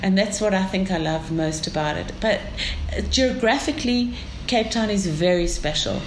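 A South African woman speaks as an interview guest, painting pictures with vivid, descriptive storytelling. Her voice sits in a middling register. The room around her rings with echo, and a faint hum of ambient noise sits underneath. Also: mid-range voice. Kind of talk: enthusiastic conversational interview.

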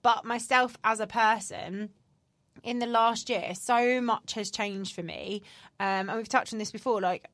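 A woman's voice: on the high side, a little slow, fairly bright tone, dry recording. A woman in her thirties, chatting candidly as a podcast co-host with lots of fillers. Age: 30s. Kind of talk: casual chat.

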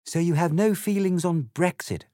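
A man's voice: sits high, moderate pace, dark tone, dry recording, clean studio sound, voice pushed up, lightly acted. A man voicing a prim, polite and puzzled young girl in a storybook satire. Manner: polite and proper.

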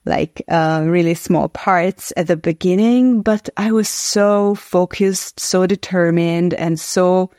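A Hungarian-accented woman in her forties recounts her past in an earnest, reflective interview, pausing on small fillers as she thinks. Her voice sits low, and the recording is close and dry with no room sound. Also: dark tone, dry recording. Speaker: guest actress. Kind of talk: interview storytelling.

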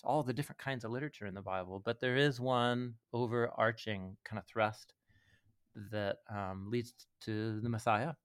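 A man talking as a podcast guest and Bible teacher, explaining things earnestly in a conversational way with frequent small chuckles. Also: lowish voice.

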